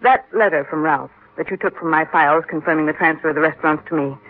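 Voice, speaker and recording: A woman's voice: deep voice, quick pace. Firm stepmother on tinny old radio. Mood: earnest, composed. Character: composed, firm stepmother explaining herself. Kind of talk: 1940s-50s radio drama acting.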